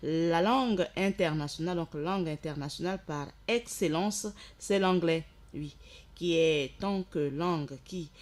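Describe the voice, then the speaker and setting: Woman radio host, low-pitched and explanatory. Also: unhurried. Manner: explanatory, rises in energy for shout-outs. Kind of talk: radio presentation, reading notes and sending greetings.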